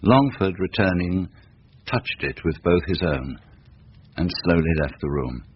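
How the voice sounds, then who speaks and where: An actor playing a grave, measured storyteller, reading scripted narration in a radio drama. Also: male voice, sits low, expressive, slight background noise. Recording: dull lo-fi radio recording.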